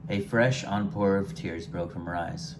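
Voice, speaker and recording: A man reading his own first-person short story aloud in a gentle, unhurried way, sometimes stumbling over a word. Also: sits low. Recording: noticeable background hiss.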